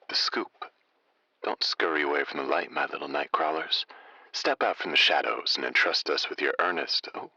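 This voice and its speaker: An actor playing a wry, laid-back late-night radio host in an audio drama. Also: male voice, rumbling low, bright, forceful tone, no echo, highs cut off, full character voice. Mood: smooth, intrigued.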